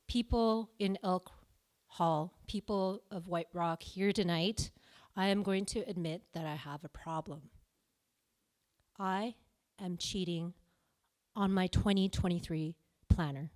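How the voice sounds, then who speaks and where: A woman's voice: lowish voice, slow, pauses often. A young Canadian-born woman performing confessional stand-up comedy at a live venue, self-deprecating and playful. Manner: self-deprecating, playful, with sudden loud bursts.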